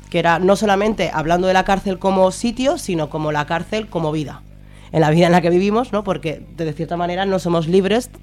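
A woman's voice: fairly low pitch, a little mellow. Female radio interviewee, accent from Spain, colloquial and somewhat hesitant, an activist explaining things informally. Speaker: activist guest, member of a citizens' assembly.

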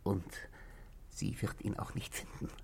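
An actor playing an anxious, brooding husband in a 1960s German radio play, polite and halting, with a hushed, breathy voice. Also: male voice, natural voice. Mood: grimly resolved. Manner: hesitant, halting, apologetic, dropping to a whisper.

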